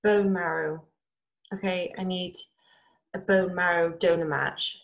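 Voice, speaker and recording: A woman acting a sick, pleading daughter in a drama scene, earnest and halting. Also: on the low side, fairly even intonation, creaky. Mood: grave, urgent. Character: seriously ill, pleading, practical daughter.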